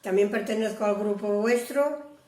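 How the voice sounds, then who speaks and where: Woman in an echoey room, mid-pitched. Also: normal speed, a little mellow. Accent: Spain. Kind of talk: casual birthday greeting.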